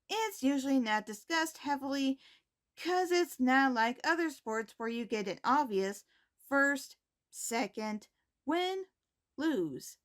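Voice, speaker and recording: A woman playing a poised, caring high school principal, giving scripted announcements in a measured, formal manner. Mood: composed, encouraging. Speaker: host reading school announcements.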